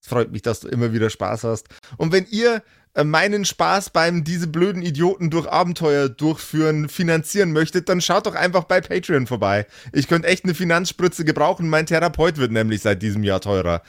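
A man's voice: fairly high pitch, expressive, fairly quick, dry recording, studio quality. A man hosting a podcast in a jokey, self-deprecating, rambling, casual way. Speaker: podcast host and game master. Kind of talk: casual, improvised outro chat.

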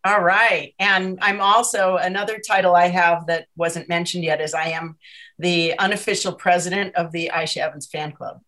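A woman's voice: lowish voice, fairly even intonation, moderate pace, bright, forceful tone, low-quality recording. A middle-aged woman interviewer giving an eager, upbeat introduction on stage. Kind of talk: onstage conversation.

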